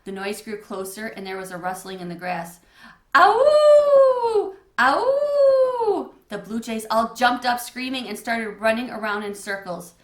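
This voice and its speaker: A woman's voice: mid-range voice, steady tone, moderate pace, few pauses, a bit bright. A woman reading a children's storybook aloud as narrator in an echoey room. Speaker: read-aloud reader.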